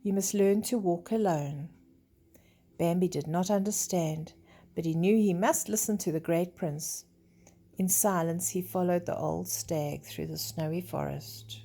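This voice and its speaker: A woman's voice: on the low side, fairly even intonation, a little slow, gravelly, mellow. An older woman storyteller reading a children's story expressively, with chatty asides that explain things simply.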